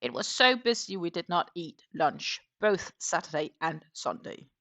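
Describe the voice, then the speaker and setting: A woman's voice: lowish voice, lively intonation, a little slow, gravelly, a bit bright. Chatty woman rambling with ums. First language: not German. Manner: chatty, rambling, frequent um fillers. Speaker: crochet channel host. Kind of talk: casual livestream chat while crocheting.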